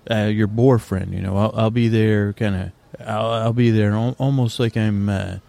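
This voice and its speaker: A man hosting a sleep podcast, talking in a meandering, halting way full of restarts and fillers, recorded on location in a quieter corner of an airport. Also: fairly low pitch, steady tone, vocal fry. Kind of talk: rambling bedtime podcast talk.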